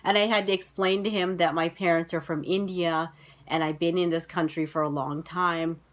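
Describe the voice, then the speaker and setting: A woman's voice: lowish voice, fairly even intonation, moderate pace. A woman in her thirties with an American accent, telling personal stories to camera in a casual vlog, full of "you know" and "like.". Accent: General American. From United States. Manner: chatty, many fillers like "you know" and "like". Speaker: vlogger.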